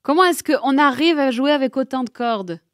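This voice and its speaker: A woman's voice: sits high, moderate pace, dry recording, clean studio sound. A woman hosting a radio interview, asking informal, chatty questions. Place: radio studio.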